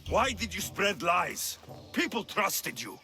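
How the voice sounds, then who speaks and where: An actor playing a stern, world-weary male mercenary of ancient Greece in a video game, measured and serious. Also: sits high, very animated, sharp tone, heavily acted. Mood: accusing. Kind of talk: dramatic video game cutscene acting. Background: music.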